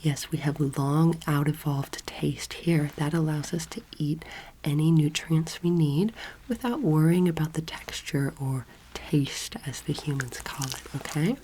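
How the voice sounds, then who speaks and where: Woman as patient alien teacher, ASMR roleplay, breathy, hushed, low-pitched, mellow, instructive, close-miked. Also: low-quality recording. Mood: calm, instructive. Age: young adult. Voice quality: soft, breathy, often whispered. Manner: measured, instructive, gently authoritative. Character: patient, earnest female alien anatomy teacher. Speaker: ASMR creator playing a teacher. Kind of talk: soft-spoken ASMR roleplay. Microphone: close microphone. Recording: clear background noise, layered clicking sounds.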